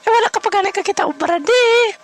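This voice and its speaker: A man voicing a nosy, baffled older sister in a comedy audio drama, with squeaky, startled questioning. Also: fast, fairly bright tone. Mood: baffled, indignant.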